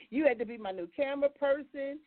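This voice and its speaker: A woman with an American accent hosting a casual, chatty live talk show, asking questions in an informal, folksy, conversational way. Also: high voice. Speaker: talk show host taking calls. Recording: thin phone-like audio.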